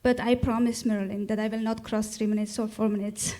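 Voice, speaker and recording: A young woman from Afghanistan in her twenties, a graduate student telling her story to a live audience in a measured, solemn way. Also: mid-range voice, little pitch movement, mellow.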